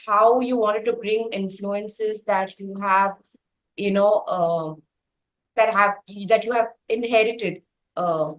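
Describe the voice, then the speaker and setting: A woman interviewer speaking in a conversational way, effusive and wordy, building her questions with deliberate phrasing. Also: mid-range voice, steady tone, rough recording.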